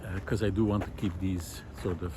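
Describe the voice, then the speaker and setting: A middle-aged man musing aloud in a reflective, hesitant monologue with frequent pauses, recorded while walking outdoors. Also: low-pitched, steady tone. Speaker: visual artist and vlog host. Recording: outdoor ambient noise.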